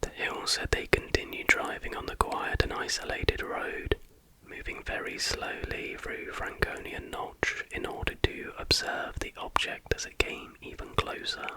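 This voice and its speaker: A man speaks in a steady, breathy whisper. He reads aloud in ASMR style, soft and measured, never bringing full voice into the words. The delivery is unhurried and factual. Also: low-quality recording. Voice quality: unvoiced whisper throughout. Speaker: ASMR host reading aloud.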